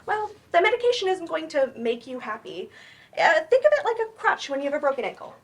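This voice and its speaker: A woman reading the part of a patient, earnest therapist in a staged play, reassuring and gently firm. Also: high voice, big pitch swings. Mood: concerned, reassuring. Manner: reassuring, measured. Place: library event room, staged reading.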